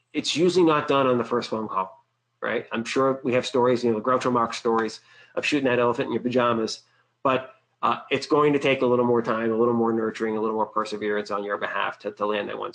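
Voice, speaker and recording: Man, mid-pitched, informal webinar trainer, chatty, filler-heavy delivery. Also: fairly bright tone, poor audio. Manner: conversational, uses "uh" and "right?" often. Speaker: webinar presenter. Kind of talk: business sales webinar.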